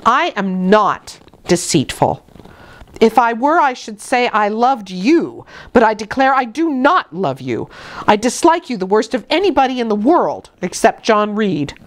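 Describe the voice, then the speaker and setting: A woman's voice: a little high, very animated, unhurried. A woman voicing a defiant young orphan girl in a storybook, with impassioned, emphatic declarations. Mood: defiant, bitter. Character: passionate, defiant young orphan girl confronting her aunt.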